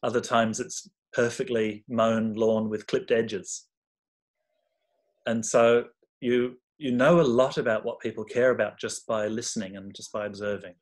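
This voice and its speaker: A man presenting an informal workshop talk in a measured, reflective and halting manner. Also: medium pitch, fairly even intonation.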